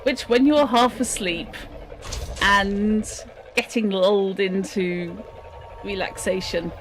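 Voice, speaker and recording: A woman chatting on her gaming stream, giggly and animated. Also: mid-range voice, takes it slowly, slight background noise. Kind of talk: casual gaming stream chat.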